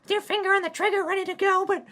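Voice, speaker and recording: A shrill little female mouse with a bright, piercing edge, snarling and cursing with open scorn, done by a man reading the story. She sounds bitter and ready to bite. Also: flat delivery, very fast. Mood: scornful. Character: foul-mouthed hostile female mouse, bitter and aggressive.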